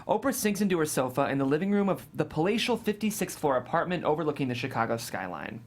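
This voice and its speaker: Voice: medium pitch, big pitch swings, fast talker. A narrator reading screenplay stage directions in a matter-of-fact, descriptive way.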